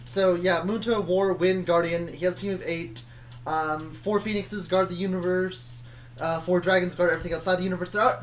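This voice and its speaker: A person telling stories about their own fictional universe in a casual, rambling, hesitant way, often correcting themselves. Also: mid-range voice. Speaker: storyteller.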